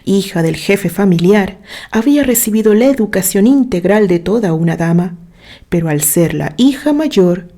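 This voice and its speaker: A woman narrating a scripted story in a gentle, sentimental way. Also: on the low side.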